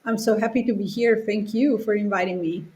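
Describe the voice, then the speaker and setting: A woman in her forties with an Italian accent, answering conversationally as an interview guest in halting phrases. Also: medium pitch, fairly even intonation, darkish tone. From Italy. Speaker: podcast guest, children's author.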